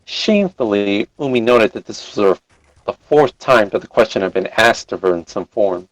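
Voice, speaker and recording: A man's voice: on the low side, lively intonation, normal speed, no echo, poor audio. A man reading a story aloud as live narrator, sometimes stumbling and rereading phrases.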